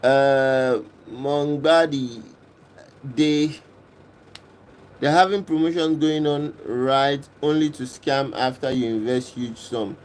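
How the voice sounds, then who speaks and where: A man from Kenya hosting a livestream, reading and answering viewers' comments in a casual, unhurried way and often repeating himself. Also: medium pitch, steady tone, a little mellow, slight background noise. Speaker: livestream host reading chat. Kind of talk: casual live chat.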